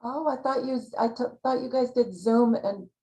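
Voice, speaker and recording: A woman hosting a casual video call chat, warm, encouraging and chatty with her guest. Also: fairly high pitch, normal speed. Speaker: host.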